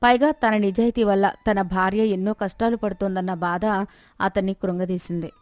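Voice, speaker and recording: A woman's voice: mid-range voice, steady tone, moderate pace, darkish tone, no echo. A woman narrating a scripted moral story as a storyteller.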